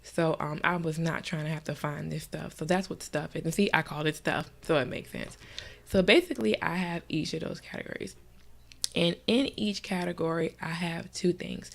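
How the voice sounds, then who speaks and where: A woman's voice: low-pitched, steady tone, moderate pace, creaky. A woman with a stuffy, slightly nasal voice, chatting casually with lots of fillers while walking through her computer screen. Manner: chatty, many fillers, soft at times. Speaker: gaming vlogger. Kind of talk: casual screen-recorded tutorial chat.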